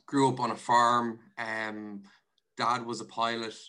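Irish male podcast guest, bright forward voice, level intonation, reflective and measured. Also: mid-range voice, flat delivery, smooth. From Ireland. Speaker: podcast guest, investment and finance director. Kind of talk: conversational interview answers.

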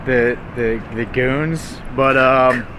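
A young man from Texas talks loosely in an outdoor interview, his sentences wandering and restarting with a steady stream of fillers. His voice sits at a middle pitch, and faint car and street noise runs behind him. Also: takes it slowly. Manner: rambling, hesitant, heavy use of "like". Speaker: music artist interviewed. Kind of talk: casual interview talk.

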